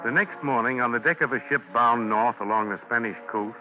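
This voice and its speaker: A man's voice: medium pitch, a little fast. A man reading scripted narration for a 1940s radio drama in a formal announcer style. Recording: tinny 1940s radio broadcast over music.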